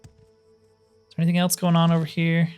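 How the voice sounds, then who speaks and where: A man's voice: a little high, completely flat delivery, moderate pace, a little mellow, clean tone. A man giving casual playthrough commentary as a video game host, thinking aloud with self-deprecating humor. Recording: music behind the voice. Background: game music.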